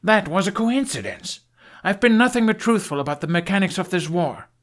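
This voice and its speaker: A man's voice: high-pitched, expressive, a little fast, a bit bright, voice pushed up. A man voicing a defiant, sarcastic young Viking man in an audiobook, with a snappy manner. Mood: sarcastic, exasperated. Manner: sneering, snappy, disdainful.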